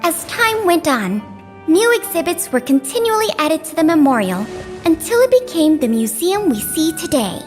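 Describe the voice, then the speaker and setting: A woman's voice: high voice, very animated, normal speed. A woman voicing an earnest, friendly young tour guide in a video game, polite and eager to explain. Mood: eager, explanatory. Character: earnest, friendly young woman guiding visitors around a museum. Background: faint background music.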